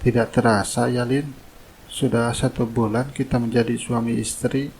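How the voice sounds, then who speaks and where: A man's voice: medium pitch, level tone, moderate pace, a little mellow, slight background noise, lightly acted. A man playing a humble, self-effacing poor young labourer in a cartoon drama, polite and subdued. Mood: humble, resigned.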